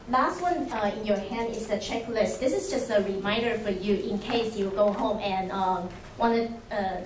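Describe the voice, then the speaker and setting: A woman's voice: mid-range voice, a little hiss or hum. Woman on a handheld mic going over the agenda, lots of uhs, echoey hall, far camera. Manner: friendly, frequent uh pauses. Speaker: workshop organizer. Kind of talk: casual announcements with fillers. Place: large conference room. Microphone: handheld microphone.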